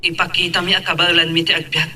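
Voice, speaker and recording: A man's voice: a little high, little pitch movement, quick pace, bright, forceful tone. A man playing an earnest, devoted son who resents his father, in an Ilocano audio drama. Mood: reassuring. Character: earnest son Robin, supportive to mother, bitter at father. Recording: distant mic with faint background noise.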